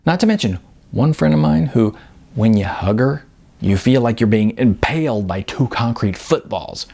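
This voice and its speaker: A man voicing a blunt, brisk female modeling agent in an audiobook, emphatic and patronizing, with chatty endearments. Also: mid-range voice, lively intonation, vocal fry, mellow. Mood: wry, candid. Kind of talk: audiobook character dialogue.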